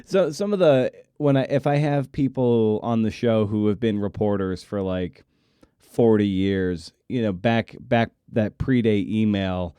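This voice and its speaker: Guy hosting a podcast, dark mellow smooth voice, really close dry sound, chatty and emphatic. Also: fairly low pitch, measured pace, dry recording. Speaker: podcast host, interviewer. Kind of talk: casual interview chat.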